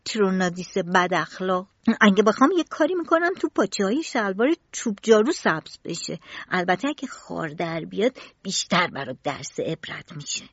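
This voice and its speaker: A woman's voice: medium pitch, sing-song, a bit bright, husky, dry recording, full character voice. A woman voicing an earnest young boy who speaks up with indignation. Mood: hurt. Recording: dull lo-fi dry recording.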